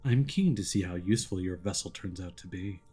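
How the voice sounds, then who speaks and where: A man's voice: deep voice, fairly quick, dark tone, slightly rough, faint music behind. Man as coldly polite game villain. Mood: cold menace. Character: calm, menacing male villain, coldly polite captor.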